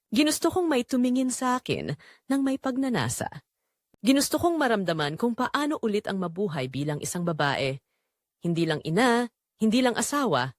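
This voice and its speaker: A woman's voice: mid-range voice, dry recording, clean studio sound. A woman playing a restless, defiant Filipino housewife, telling her secret in an emotive, confessional first-person drama narration.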